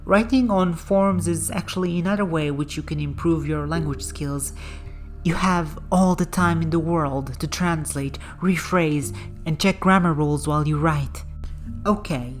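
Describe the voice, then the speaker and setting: A woman with a notably low voice narrates in a calm, measured, explanatory way. Her scripted voice-over runs over a clear music bed. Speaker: language-learning channel host. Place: voice-over, not in the game.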